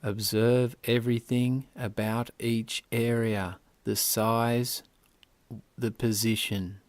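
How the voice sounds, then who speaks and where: A man working as a yoga nidra instructor, guiding relaxation in measured, deliberate phrases. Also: mid-range voice, level tone, no room sound. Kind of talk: guided relaxation meditation instruction.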